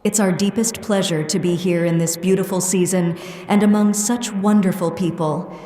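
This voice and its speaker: A woman playing a gracious, gentle elf sage giving a formal public address in an audio drama. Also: on the low side, steady tone, normal speed, close to an everyday voice. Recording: echoing hall reverb.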